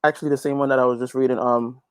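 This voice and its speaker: Male interview guest, medium pitch, casual and emphatic, close dry recording. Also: flat delivery, very fast, clear, resonant tone, no room sound. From Guyana. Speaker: interview guest, gospel reggae singer and youth pastor.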